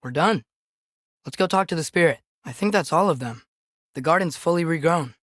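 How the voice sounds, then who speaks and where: A man's voice: a little high, moderate pace, fairly bright tone, no echo, studio quality, close to an everyday voice. A young man voicing an earnest, curious teenage boy adventurer in a video game, with natural, inquisitive phrasing. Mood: curious, observant. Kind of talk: video game voice acting, companion dialogue.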